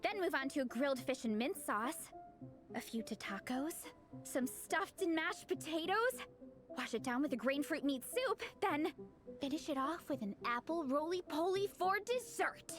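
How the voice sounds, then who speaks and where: Game role: encouraging, food-loving young female athlete, high-pitched, enthusiastic, music behind. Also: animated, moderate pace. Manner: upbeat, earnest, enthusiastic. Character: peppy, encouraging young woman athlete who loves food and comics. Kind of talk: video game character acting.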